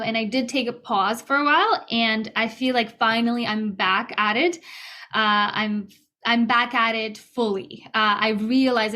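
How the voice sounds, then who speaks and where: A woman from Ukraine, a guest musician, talking earnestly in a remote interview, pausing often with restarts and fillers. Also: medium pitch, a bit bright. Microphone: video call.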